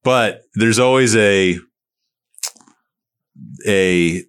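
A man's voice: lowish voice, very slow, a bit bright, clean tone. A middle-aged man hosting a casual interview, asking long rambling questions full of restarts and fillers. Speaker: podcast host.

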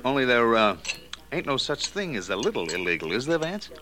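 An actor playing a cocky, evasive loan shark with streetwise slang in 1940s radio detective style. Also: male voice, medium pitch, sing-song, fairly quick, pushed and bright. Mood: cagey, cocky. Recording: old radio recording with faint noise.

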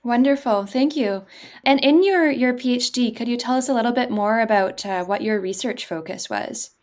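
A woman's voice: fairly high pitch, normal speed. A woman co-hosting a podcast, a doctoral student, politely introducing the show and asking questions. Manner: encouraging and polite. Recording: faint music underneath.

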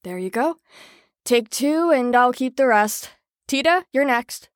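Perky sarcastic ward supervisor lady, really high voice, dry close sound, audio drama. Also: animated, a little slow, no echo. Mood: brisk. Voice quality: high-pitched. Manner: perky, folksy, exasperated when challenged. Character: peppy, frazzled, sarcastic woman supervising patients.

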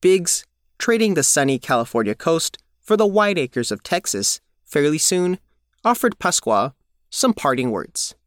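Guy reporter reading a scripted story, pitch moves around a lot, really dry close sound. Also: sing-song, a little slow, no room sound. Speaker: reporter and narrator. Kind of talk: scripted news feature narration.

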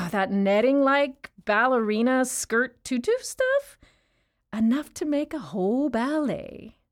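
A woman's voice: medium pitch, gravelly, full character voice. An actress playing a chatty, rambling woman inmate in an audio drama, telling stories in a wry tone. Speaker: actor in an audio play.

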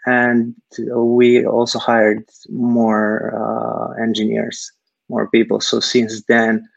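A man from Serbia, answering interview questions haltingly over a video call, filling pauses with lots of uh. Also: medium pitch, monotone, creaky, no echo, muffled recording. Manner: hesitant, many uh fillers. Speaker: guest, startup technical founder.

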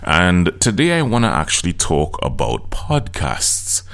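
Deep-voiced Trinidadian podcast coach. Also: male voice, wildly swooping pitch, measured pace, vocal fry, a bit bright. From Trinidad and Tobago. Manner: earnest, coaching, direct to listener. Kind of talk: solo podcast talk.

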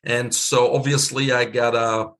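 A man's voice: mid-range voice, unhurried. Guest, male, 50s, Belgian accent; laid-back storyteller with frequent uh's and laughs. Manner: relaxed, chatty, laughs often, many uh fillers. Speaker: guest, physician. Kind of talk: casual storytelling interview.

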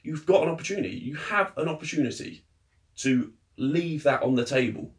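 A man's voice: fairly high pitch, a little fast. A man co-hosting a podcast in casual chat, giving emphatic, blunt advice. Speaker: podcast co-host.

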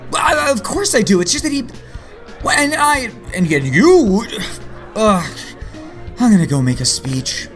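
A man's voice: sits high, big pitch swings, unhurried, pauses often, fairly bright tone, heavily acted. An actor playing a rich, sardonic yet earnest man in his late thirties in an audio drama. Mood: awkward. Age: 30s. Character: rich, sardonic, earnest middle-aged male vigilante heir. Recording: long hall reverb, faint music behind.

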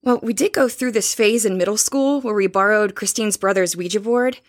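A woman's voice: medium pitch, normal speed, dry recording, studio quality, natural voice. A woman playing a curious, cautious young woman, the sensible friend, in an audio horror drama. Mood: candid. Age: young adult.

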